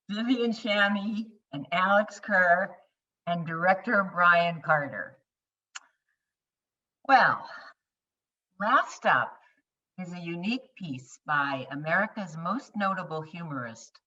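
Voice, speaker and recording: A woman's voice: lowish voice, expressive, slow pace, long pauses, sharp tone. A woman hosting an event, thanking the actors and introducing the next play in a gracious, announcing way over a video call. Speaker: event host. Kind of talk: scripted introduction. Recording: distant, muffled video call.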